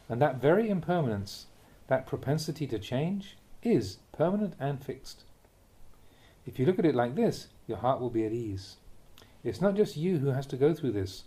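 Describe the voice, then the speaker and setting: Guy reading a buddhist talk like an audiobook, relaxed dark tone, lots of up and down, deliberate phrasing. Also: mid-range voice. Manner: measured, deliberate phrasing in short clauses. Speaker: monk reading a Buddhist teaching aloud. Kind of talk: read-aloud dharma talk, audiobook narration.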